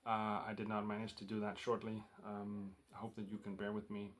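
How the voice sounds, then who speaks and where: A man's voice: lowish voice, monotone, a little fast. A man with a Danish accent, a native Danish speaker, casually showing off records in a rambling vlog full of ums and uhs. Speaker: record collector vlogger.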